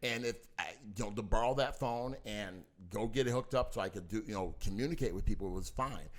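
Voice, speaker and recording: A man's voice: on the low side, a little fast. An older man, a father in a family mediation, talking emphatically and repeating himself, insisting on finishing his point. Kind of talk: heated family discussion.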